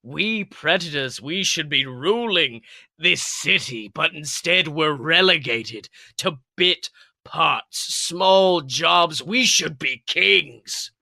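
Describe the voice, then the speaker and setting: A man acts out an old, battle-scarred wolf villain from an animal story. He is bitter and grandiose, drawing out his menacing speeches with slow theatrical relish. The sound is bright and very dry. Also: on the high side, expressive, vocal fry, no room sound, full character voice. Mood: brooding, grandiose. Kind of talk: dramatic storytelling character voice.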